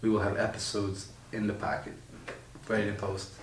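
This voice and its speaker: A man with a lowish voice talks quickly and agreeably, dropping in short affirmations. He sounds far from the mic in an echoey room with a faint hiss behind him. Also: little pitch movement. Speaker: podcast co-host. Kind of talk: casual chat. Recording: roomy, distant, faint background noise.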